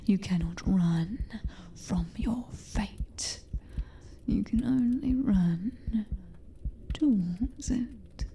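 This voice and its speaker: A woman playing a cruel, possessive vampire mistress in an ASMR roleplay, with a British accent and a breathy, taunting whisper. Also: mid-range voice, warm tone, a little hiss or hum. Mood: menacing, coaxing. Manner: slow, drawn-out, taunting endearments.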